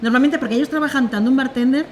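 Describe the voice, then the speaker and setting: A woman's voice: sits high, racing. A woman, a small business owner explaining at length as a podcast guest. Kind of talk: casual podcast conversation. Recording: crowd noise behind.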